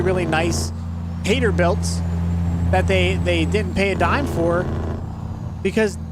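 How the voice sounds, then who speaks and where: A man in his thirties streaming a game, chatting in a rambling, blunt way with casual swearing. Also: high-pitched. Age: 30s. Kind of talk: casual live-stream chat while gaming. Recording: loud vehicle noise behind.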